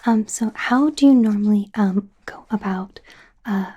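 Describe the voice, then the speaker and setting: A woman playing a friendly, awkward young werewolf doctor in an ASMR roleplay, speaking in a soft, breathy whisper with hesitant, casual rambling. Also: mid-range voice, a little slow, highs cut off. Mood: gentle, nervous.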